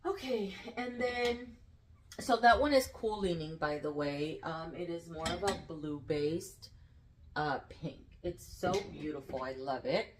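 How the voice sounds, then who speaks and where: A woman with a slightly low voice talks through her polish collection in a loose, rambling way. Her voice sounds nasal and congested. She fills gaps with ums and soft laughs, staying upbeat. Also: fairly even intonation, a little slow, a little hiss or hum, low-quality recording. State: full after eating. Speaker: nail polish vlogger. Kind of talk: casual chatty product talk.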